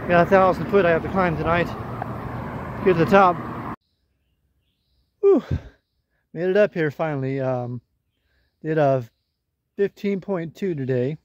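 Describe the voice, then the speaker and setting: A man's voice: on the high side, a little slow, pauses often, muffled recording, slight background noise. A man in his forties vlogging casually from a wooded mountain trail, phone held at arm's length, talking easily. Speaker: thru-hiker vlogger. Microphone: phone camera at arm's length.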